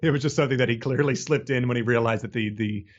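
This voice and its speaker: A man's voice: medium pitch, fast, highs cut off. A man co-hosting a podcast in a casual, joking style, riffing comically on a book. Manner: joking, riffing, chuckles through talk. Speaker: podcast co-host.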